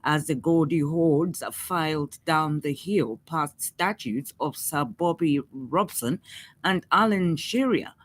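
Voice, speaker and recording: A woman's voice: deep voice, slow. A woman with a Zambian accent reads a scripted radio news bulletin as the news reader.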